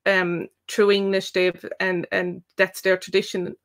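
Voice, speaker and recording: An Irish woman co-hosting a live question-and-answer stream about learning the language. She talks in long, meandering explanations full of fillers, at a middle pitch. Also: level tone, measured pace. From Ireland. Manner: thoughtful, rambling with many fillers. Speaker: Irish language tutor and co-host.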